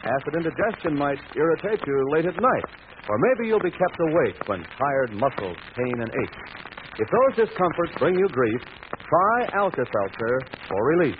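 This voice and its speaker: A man's voice: fairly high pitch, big pitch swings, moderate pace. An American man working as an announcer in an old-time radio style. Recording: noisy tinny vintage radio broadcast.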